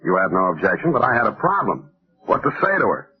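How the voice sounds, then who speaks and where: A man's voice: on the low side, fast. An actor playing a sardonic, world-weary male private detective narrating a hard-boiled 1940s radio drama. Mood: somber, reflective. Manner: wry deadpan, hard-boiled. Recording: tinny phone-like vintage radio recording.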